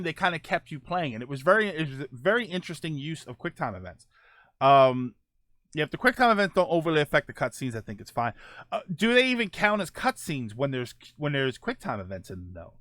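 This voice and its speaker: A man with a slightly high voice, recorded close and dry. He hosts a gaming show in a casual, conversational style, rambling a little as he thinks aloud and answers viewer comments. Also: very animated, brisk, a bit bright, no echo. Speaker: host of a gaming show, streamer.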